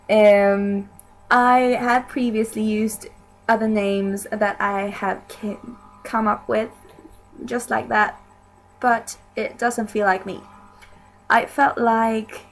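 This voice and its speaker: Slow, gravelly young woman. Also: medium pitch, slight background noise. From Sweden. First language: Swedish. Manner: hesitant and rambling, many restarts. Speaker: YouTuber. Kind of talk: casual chat to camera.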